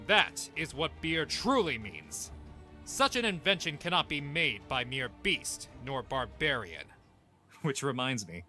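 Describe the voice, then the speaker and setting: A man's voice: medium pitch, bright, forceful tone. A man playing a proud, imperious young king in a comic dub, with a pompous, lofty manner. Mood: lofty, lecturing, then amused storytelling. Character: proud, boastful young king of ancient times, imperious but amused. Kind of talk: scripted comic dub acting.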